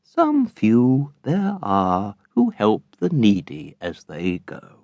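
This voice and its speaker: A man voicing a pompous, sanctimonious middle-aged father in an audiobook, speaking slowly and distinctly in an unctuous, moralising way. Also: fairly low pitch, wildly swooping pitch, a little mellow, dry recording, dull audio, voice pushed up, full character voice. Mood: smugly virtuous.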